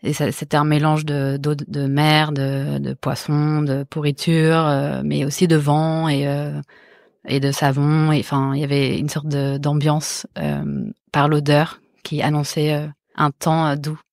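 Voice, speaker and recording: Low, flat-voiced woman, soft and reflective. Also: a little slow, dry recording, clean studio sound. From born in the Netherlands, raised in Marseille. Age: 30s. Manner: many fillers and restarts, soft and reflective. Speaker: guest, visual artist. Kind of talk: hesitant interview talk.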